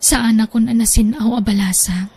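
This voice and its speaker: A woman's voice: a little high, steady tone, normal speed, a little mellow, breathy edge. A woman playing a sweet, sincere young woman in love in a radio drama, speaking sentimentally with a Filipino accent. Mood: apologetic, anxious.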